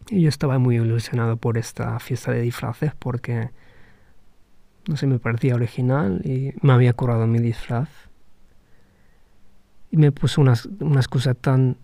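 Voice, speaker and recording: A man's voice: mid-range voice, fairly even intonation, normal speed, vocal fry, long pauses, mellow, no room sound. A man hosting a podcast, talking through a personal reflective monologue in a hesitant, thoughtful way with frequent restarts. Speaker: podcast host.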